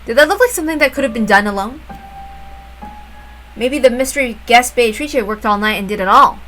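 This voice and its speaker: A woman's voice: fairly high pitch, expressive, brisk, a bit bright, soft background music. A woman voicing a shrewd, suspicious mother who gives polite but pointed orders in a story reading. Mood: insistent. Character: shrewd, suspicious mother taking command of her family.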